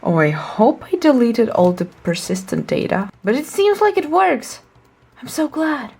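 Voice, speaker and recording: A woman's voice: a little high, very animated, unhurried, gravelly, darkish tone, husky. A young woman talking casually and candidly while busy with what she is doing. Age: young adult. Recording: faint background noise, clicks.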